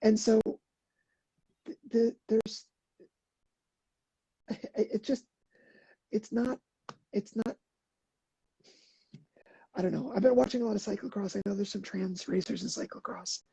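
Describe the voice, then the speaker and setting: A middle-aged woman speaks earnestly in a relaxed interview, halting with fillers and restarts. Her voice is very dark and husky with gravelly fry and a slight hoarseness. The sound is dry and a little muffled. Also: medium pitch, no room sound. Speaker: podcast guest, endurance athlete. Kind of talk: casual interview talk.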